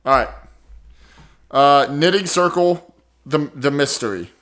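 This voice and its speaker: A man's voice: fairly high pitch, a little slow, fairly bright tone. A man co-hosting a comedy podcast with casual improvised banter.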